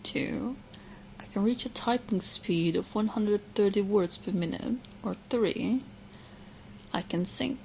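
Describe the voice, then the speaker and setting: A woman playing a sweet, obsessed young witch girlfriend in an ASMR roleplay, teasing and smug with coy, halting asides. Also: medium pitch, vocal fry, a little mellow, a little hiss or hum, poor audio. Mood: smug, adoring.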